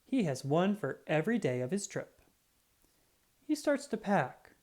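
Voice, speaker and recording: Low, mellow, animated female storyteller. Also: a little slow. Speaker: children's book reader. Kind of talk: scripted children's storybook read-aloud.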